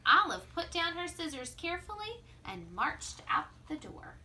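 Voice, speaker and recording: High bright female voice, children's read-aloud, expressive drawn-out phrasing, slight background noise. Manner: expressive, drawn-out delivery with hushed asides. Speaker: teacher reading a picture book aloud. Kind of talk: animated children's story read-aloud with asides.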